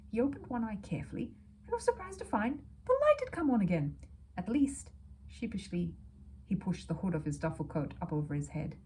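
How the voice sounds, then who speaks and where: A woman reading a children's book aloud as a storyteller, expressive and dramatic, dropping into hushed asides. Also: medium pitch, a little hiss or hum. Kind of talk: expressive children's audiobook narration.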